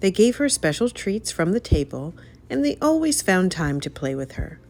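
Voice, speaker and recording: A woman reading a children's story aloud as narrator, with an expressive, dramatic delivery. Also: on the low side, normal speed.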